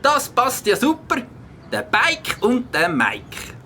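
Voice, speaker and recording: A woman playing an eager, helpful young boy with a slightly raspy voice and a Swiss German accent in a children's audio play. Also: fairly high pitch, huge pitch swings, slow pace, slight background noise, heavily acted. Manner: bright, eager.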